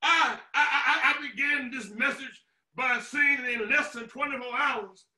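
A man in his sixties preaching a sermon as a pastor, emphatic and declamatory, with deliberate pauses, in a small room at home. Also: extremely high pitch, sharp tone. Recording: roomy echo, distant microphone.

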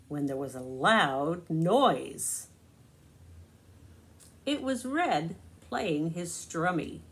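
Slow, expressive older woman reading to children. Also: low voice. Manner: deliberate, expressive, explaining as she goes. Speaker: children's storybook reader. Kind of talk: read-aloud storytelling to young children with asides. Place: small living room, indoors. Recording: noisy amateur home recording.

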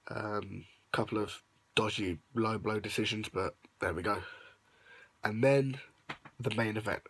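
A young man records a late-night vlog on his phone while lying in bed. His voice is low and rough, husky from shouting, and he sounds a bit sniffly and under the weather. He rambles along with plenty of ums, upbeat despite it. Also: fairly even intonation, unhurried, dry recording. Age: young adult. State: hoarse voice; unwell, sniffly. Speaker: vlogger. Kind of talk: casual vlog recap. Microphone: phone held close at arm's length.

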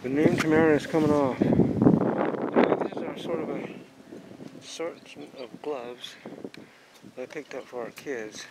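Gravelly man on a windy marina dock. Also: mid-range voice. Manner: rambling, many fillers, upbeat and hopeful. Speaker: skipper of a youth sailing nonprofit. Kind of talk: casual walkthrough vlog. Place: outdoors on a marina dock beside a sailboat. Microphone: handheld camera mic. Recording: loud wind and water noise outdoors. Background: wind and boat ambience.

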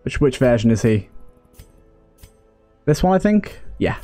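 A man's voice: medium pitch, very animated, measured pace, dark tone, soft background music. A man hosting a gameplay video, thinking aloud in short remarks as he gives casual commentary. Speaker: gameplay host.

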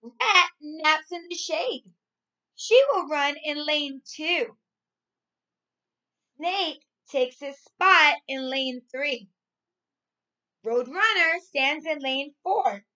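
A woman in her thirties, a primary school teacher reading and explaining to young children in an expressive, clearly enunciated way. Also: high-pitched, extremely slow, long pauses, highs cut off. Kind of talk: read-aloud story and lesson for young children. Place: small furnished room at home, indoors.

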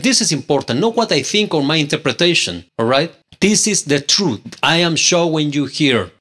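A man with a Spanish accent, a native Spanish speaker, teaches scripture from a webcam setup with a close microphone. His voice sits slightly high, and he presses his points with indignant, repeated rhetorical questions. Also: a bit bright. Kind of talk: online scripture study, argumentative. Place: indoor room, screen-share with webcam. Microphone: pink handheld mic on stand, close.

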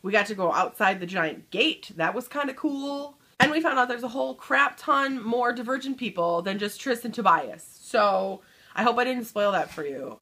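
A woman's voice: medium pitch, fairly bright tone, breathy edge. A woman in her thirties chatting casually to camera in a small room at home, upbeat and chatty, trailing off at the ends of her thoughts. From Michigan. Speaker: book vlogger.

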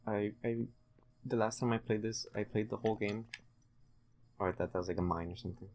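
A young man giving casual, halting, low-key commentary while concentrating on playing a video game. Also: fairly even intonation, darkish tone, slight background noise. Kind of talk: casual let's-play commentary.

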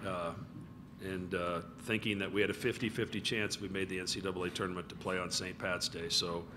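A college basketball coach in his fifties talks into a table mic at a press conference, relaxed and rambling, full of "you know" and restarts. His voice sits a bit low. Steady room noise hums behind him. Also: fairly quick.

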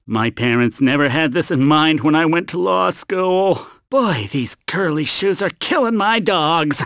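A man's voice: a little high, lively intonation, measured pace, a bit bright, no echo, studio quality. A man voicing a sneaky, goofy, cranky fruit mascot in a cartoon video game, theatrically swinging between chirpy sales patter and grumbling asides. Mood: grumbling, weary.

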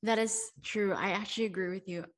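A woman's voice: mid-range voice, steady tone, fairly quick. A young woman hosting a podcast over a video call, effusive and full of "like" fillers. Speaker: podcast host. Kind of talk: casual interview chat. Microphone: headset and studio mic on video call.

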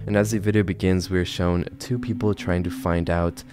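A young man with a very low voice hosts a horror video in casual, scripted commentary. He is close on the mic, and music plays clearly behind him. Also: little pitch movement, normal speed. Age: 20s. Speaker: YouTube horror video host. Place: bedroom, indoors. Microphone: close studio microphone on a boom arm.

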